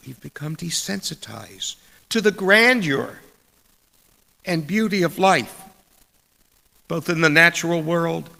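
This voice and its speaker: Slow gravelly male preacher in echoing hall. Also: a little high, bright, forceful tone. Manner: deliberate, measured, with dramatic pauses. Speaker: preacher reading a sermon. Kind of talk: sermon with read quotations. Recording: long echoing hall reverb.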